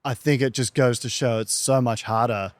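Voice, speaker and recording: A man's voice: mid-range voice, fairly even intonation, normal speed, no echo. A man hosting a show, interviewing a guest in a conversational style.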